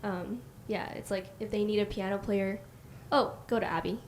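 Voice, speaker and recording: A young woman hosting a casual chat, soft-spoken and asking her guests questions; she grew up speaking Mandarin at home. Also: mid-range voice, steady tone, normal speed, a little hiss or hum. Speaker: host.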